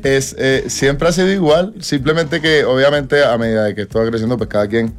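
A man's voice: medium pitch, a little fast, soft background music. A Colombian man in his twenties, a singer talking casually in an interview, rambling with slang and restarts. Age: 20s.

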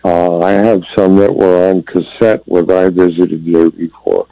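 A man calling into a radio show, talking slowly and haltingly with many fillers as he shares memories. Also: low-pitched, little pitch movement, dark tone, smooth. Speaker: caller. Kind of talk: phone-in call. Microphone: telephone. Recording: dull lo-fi phone line.

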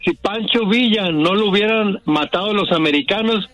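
A man's voice: high-pitched, moderate pace, fairly bright tone, soft background music, low-quality recording. A man who is a regular on a radio show, calling in and arguing bluntly and stubbornly. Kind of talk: call-in banter on radio.

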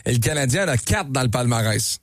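A man's voice: medium pitch, animated, quick pace, no room sound, clean studio sound. A man with a Quebec French accent hosting a sports radio show in a conversational way.

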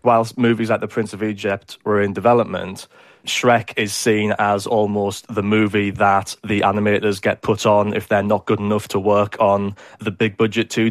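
A man's voice: lowish voice, little pitch movement, normal speed, no room sound, studio quality. A man with a British accent giving explanatory answers as an academic guest in a radio interview. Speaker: animation historian, interview guest.

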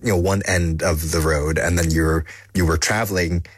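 A man's voice: low-pitched, steady tone, moderate pace, breathy edge, dry recording, clean studio sound. A man hosting an interview, asking questions and giving brief encouraging responses. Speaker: podcast host. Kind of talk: casual interview conversation.

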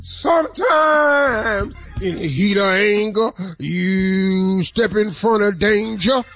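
Whooping, drawn-out comic preacher. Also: male voice, high voice, fairly bright tone, soft background music, heavily acted. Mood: fervent, whooping. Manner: drawn-out cries, whoops and cackles. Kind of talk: comic sermon, half sung and shouted.

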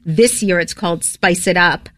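A woman's voice: lowish voice, moderate pace, fairly bright tone, no room sound. Bubbly chatty woman radio co-host. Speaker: radio food show co-host. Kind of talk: casual radio chat. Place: radio studio.

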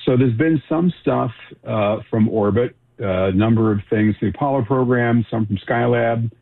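A man's voice: mid-range voice, normal speed, vocal fry, phone-quality sound. A seventy-year-old man giving casual expert explanations as a radio guest over a thin remote connection. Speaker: expert guest, journalist and author.

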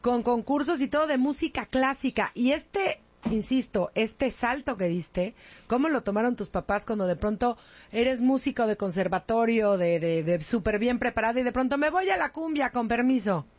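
Effusive high-voiced Mexican woman interviewer. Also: normal speed, a little mellow, muffled recording. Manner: effusive, colloquial, exclaiming. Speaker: interview host. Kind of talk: casual celebrity-style interview chat.

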